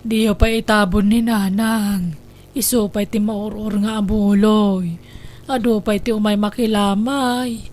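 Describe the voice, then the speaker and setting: A woman's voice: medium pitch, flat delivery, unhurried, darkish tone, natural voice. A woman playing a greedy, sick older woman who answers scolding with whining retorts. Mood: scheming. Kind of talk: amateur comedy audio drama.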